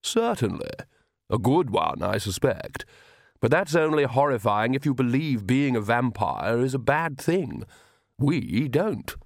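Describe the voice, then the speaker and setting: A man's voice: mid-range voice, very animated, unhurried, vocal fry, no echo, clean studio sound, full character voice. A man voicing a suave, self-assured young male vampire with an urbane, patiently condescending manner for an audiobook. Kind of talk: audiobook character voice.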